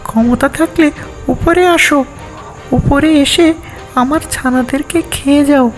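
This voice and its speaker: A woman's voice: high voice, unhurried, soft background music. A woman playing a small, plucky mother bird in a cartoon fable, scolding and protective of her chicks. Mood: defiant.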